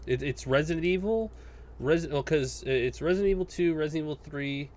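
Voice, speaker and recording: A man's voice: fairly high pitch, brisk, a little mellow. A man co-hosting a casual gaming chat, explaining details in an insistent, exacting way. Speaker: podcast co-host. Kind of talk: casual video game chat.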